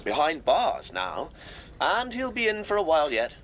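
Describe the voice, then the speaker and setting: A man's voice: on the high side, huge pitch swings, moderate pace, fairly bright tone. An actor in a radio drama playing a gossiping townsman with a knowing, insinuating manner. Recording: noisy background ambience behind voice.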